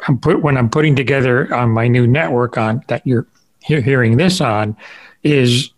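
A man hosting a podcast interview in a casual, rambling way, often halting as he thinks aloud. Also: medium pitch. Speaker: podcast host interviewing a guest.

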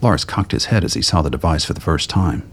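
Male narrator for audio drama: extremely low, husky voice, scripted dramatic storytelling. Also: expressive, fairly quick.

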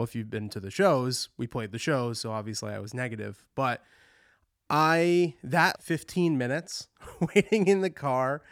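A man's voice: mid-range voice, clean tone, no echo, studio quality. A touring musician hosting his own show, talking in a rambling, candid way and swearing often, his voice congested from a cold. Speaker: touring musician and podcast host. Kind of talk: casual monologue.

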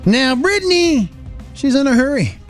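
Very animated American man, high-pitched radio host. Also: normal speed, faint music behind. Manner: animated, mock-serious, emphatic. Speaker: radio morning show host. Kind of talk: comic banter and storytelling.

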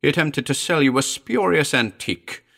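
A man voicing a small, malevolent demon familiar with a shrill, sly, insistent delivery in an audio horror story. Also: animated, normal speed, gravelly, a bit bright, exaggerated voice. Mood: cold, insistent.

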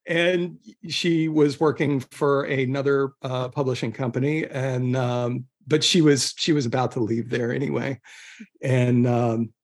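Man haltingly telling casual stories. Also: mid-range voice, steady tone. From southern California. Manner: halting, self-interrupting, groping for words. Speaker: guest author. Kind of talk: casual conversational storytelling.

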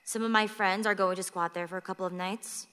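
A woman's voice: mid-range voice, steady tone, fairly quick, sharp tone, smooth, close to an everyday voice. A woman playing a flippant sixteen-year-old runaway girl in a radio play, with a playful, breezy, teasing manner. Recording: long reverberant hall sound.

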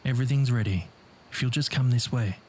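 An actor playing a gentle, solemn minister with a formal, condoling way of talking in an audio drama. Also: male voice, mid-range voice, a little fast, close to an everyday voice. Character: gentle, solemn minister leading a graveside funeral.

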